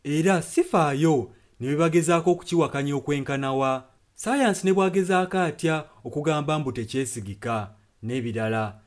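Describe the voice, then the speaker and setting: A man's voice: on the high side, fairly quick, hardly any pauses, darkish tone. A Ugandan man interpreting a sermon earnestly, phrase by phrase. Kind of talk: consecutive sermon translation. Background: music.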